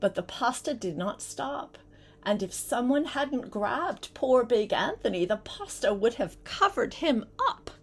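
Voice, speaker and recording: An older woman, a grandmother, reading a storybook aloud in an expressive way and chatting directly to a child as she goes. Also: on the high side, measured pace. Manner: animated, addresses the young listener with questions.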